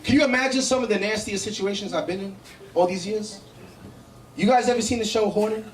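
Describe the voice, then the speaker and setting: A man's voice: high-pitched, fairly quick. A middle-aged man with a New York accent performing live stand-up comedy, brash and profane, bantering with the crowd in a conversational way. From Brooklyn, New York, United States. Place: indoor comedy show in a cafe. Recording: echoey live room, miked from a distance.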